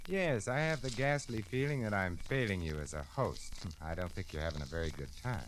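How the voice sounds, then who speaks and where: An actor playing a genial yet subtly menacing gentleman host in an old-time radio drama, speaking with suave, old-fashioned politeness. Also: lowish voice, a little fast, lightly acted. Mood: genial, probing. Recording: noisy old radio recording with clicks.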